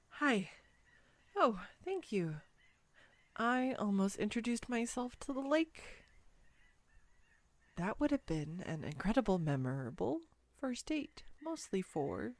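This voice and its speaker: A woman acting a bubbly, animated, clumsy young date. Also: fairly high pitch, no room sound, heavily acted. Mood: sheepish, teasing. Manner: bubbly, hesitant, playfully teasing, expressive. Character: clumsy, excitable, self-mocking young woman on a first date. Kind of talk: scripted girlfriend roleplay audio, intimate one-sided dialogue.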